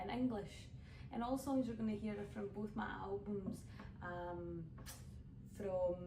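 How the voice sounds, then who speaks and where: A woman with a Scottish accent from the west coast of Scotland, a folk singer chatting casually and rambling a little as she introduces her songs for an online showcase. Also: medium pitch. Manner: chatty, rambling storyteller with many fillers. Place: home room streaming online. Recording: roomy, distant mic with background noise.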